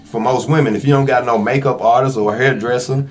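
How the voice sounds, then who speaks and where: A man's voice: mid-range voice, fairly even intonation, brisk, soft background music. A man speaking as a podcast guest in casual, slangy conversation, candid and emphatic about his work as an actor. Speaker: interview guest, actor and independent filmmaker.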